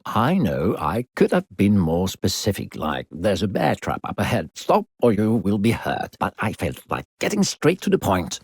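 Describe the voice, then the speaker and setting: A man voicing a falsely sweet, controlling demon narrator in a video game, theatrical and condescending, with a gravelly, husky voice. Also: sits low, darkish tone, no room sound, clean studio sound, heavily acted. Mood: smug, casual. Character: smooth-talking sinister demon narrator coaxing a child.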